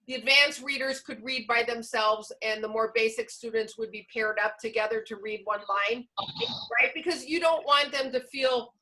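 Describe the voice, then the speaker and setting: A woman's voice: on the high side, moderate pace, sharp tone, rough recording. A woman presenting a teacher-training session, explaining informally with examples as she answers questions. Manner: explanatory, illustrates points with examples.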